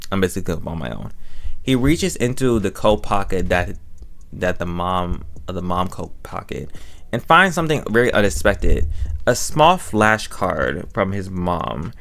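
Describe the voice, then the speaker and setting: A man with a deep voice and a heavy creak runs through a casual recap as a review host. He talks in an emotive, slangy way and circles back to repeat phrases for emphasis. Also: sing-song, moderate pace, a bit bright. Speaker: host reviewing an audio drama. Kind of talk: casual, rambling recap and reaction.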